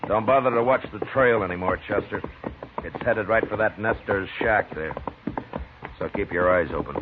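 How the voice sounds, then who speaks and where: An actor playing a steady, grim American frontier marshal in a 1950s western radio drama, speaking in a terse, measured way. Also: male voice, on the low side, close to an everyday voice. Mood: steady, businesslike. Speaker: lead character and narrator. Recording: muffled, noisy 1950s radio recording.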